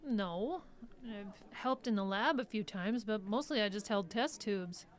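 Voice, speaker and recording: An actress playing a caring but defensive caregiver in a radio drama, hesitant and conversational. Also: female voice, medium pitch, lively intonation, unhurried, natural voice. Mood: defensive, uneasy. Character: caring, defensive female caregiver to an old doctor.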